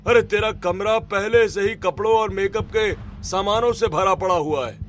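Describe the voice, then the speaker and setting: A man voicing an exasperated, thrifty middle-aged father in a cartoon, loud and nagging. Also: moderate pace, faint music behind. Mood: exasperated scolding. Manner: loud, nagging, raised pitch. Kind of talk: animated cartoon story acting.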